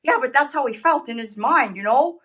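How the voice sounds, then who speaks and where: A woman puts on an eager, friendly puppet pal for a children's show. The voice is high and bright, swooping around as it chats in a childlike way. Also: animated, brisk, breathy edge, full character voice. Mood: cheerful.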